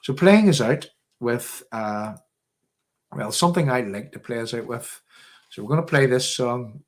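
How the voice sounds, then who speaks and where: A man with a medium-pitched voice and a Northern Irish accent, speaking as the host of a political livestream. He talks off the cuff in an opinionated way, repeating phrases to drive them home. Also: animated, unhurried. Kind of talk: live political commentary, off the cuff.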